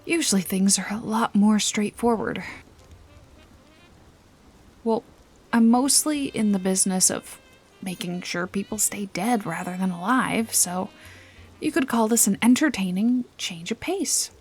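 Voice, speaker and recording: Woman playing wry noir detective lady, breathy almost whispery, takes her time, teasing and a bit threatening, soft ambient bed behind her. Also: mid-range voice, a little slow, long pauses, soft background music. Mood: wry, amused. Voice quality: breathy, often dropping toward a whisper. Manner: sardonic, teasing, casually threatening drawl. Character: wry, bossy woman detective-bodyguard with a dangerous, teasing edge. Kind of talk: noir mystery ASMR roleplay, intimate addressing of listener.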